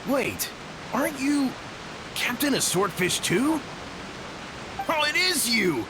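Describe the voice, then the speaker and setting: A man's voice: very high voice, sing-song, slow pace, background noise, exaggerated voice. A man playing an earnest, friendly young soldier in a video game, speaking in an eager, emphatic way. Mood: warm, earnest. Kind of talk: video game character acting. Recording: music behind the voice.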